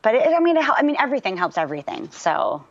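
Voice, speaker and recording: A woman voice actor chatting in an interview, lively and talkative with many fillers. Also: on the high side, racing, dull audio. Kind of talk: casual interview chat.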